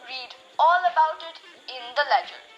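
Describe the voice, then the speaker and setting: A woman's voice: high-pitched, moderate pace, dull audio, faint music behind, close to an everyday voice. A young woman acting in a radio drama as a brash, eager 1920s newspaper reporter. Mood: eager, punchy. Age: teenager.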